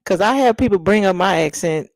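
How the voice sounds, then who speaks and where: A woman's voice: medium pitch, animated, fairly quick, no echo. Sassy Texas woman with Louisiana twang. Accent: Louisiana-tinged Texas. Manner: sassy, blunt, laughing, slangy storytelling. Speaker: livestream host. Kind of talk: casual chat with live viewers.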